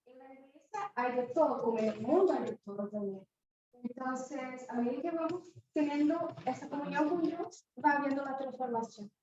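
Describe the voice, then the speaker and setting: A woman in a church group making a calm, soft-spoken comment. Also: high-pitched, normal speed, muffled recording, crowd noise. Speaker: church member. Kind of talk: brief comment in a group discussion. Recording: far-off voice in a very echoey room.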